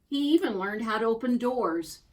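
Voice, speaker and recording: A woman reading a children's picture book aloud as a storyteller, expressively and deliberately, drawing out key words. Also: a little high, expressive. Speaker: storybook reader.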